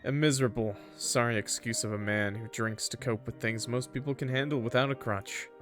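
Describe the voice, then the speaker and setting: A man's voice: medium pitch, steady tone, a little fast, fairly bright tone, soft background music. A man reading a visual novel aloud as a streamer, mixing narration with informal, sweary, joking asides to viewers. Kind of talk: let's-play narration mixed with casual chat to viewers.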